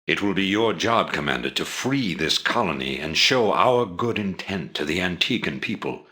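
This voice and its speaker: An actor playing a calculating rebel leader in a video game, giving briefings in a measured, formal, authoritative way. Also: male voice, low-pitched, lively intonation, pushed and bright. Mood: grave, commanding. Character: commanding, calculating older male rebel leader.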